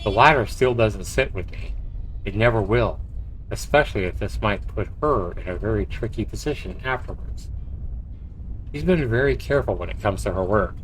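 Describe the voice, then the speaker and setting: A man's voice: on the low side, animated, normal speed, a little hiss or hum, poor audio. A man livestreaming a visual novel, reading the narration aloud like a storyteller and dropping in short asides. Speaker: livestreamer, game player. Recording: faint music behind the voice. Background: faint game music.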